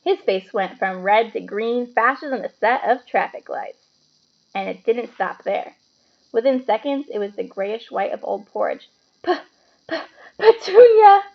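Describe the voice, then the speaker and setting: A woman narrating a children's novel aloud as an expressive storybook reader. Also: medium pitch, moderate pace, creaky, lo-fi sound.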